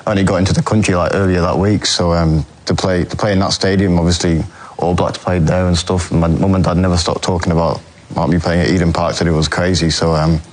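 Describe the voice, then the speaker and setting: A young man, answering interview questions in a halting way, full of ums and restarts. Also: low voice, level tone, darkish tone. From England. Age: young adult. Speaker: footballer guest.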